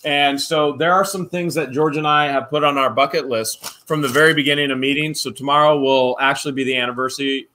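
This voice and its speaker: A man co-hosting a casual vlog, opening fan mail and reading letters aloud with playful, animated jokes. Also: mid-range voice, a little fast, few pauses, slight background noise. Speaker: channel co-host.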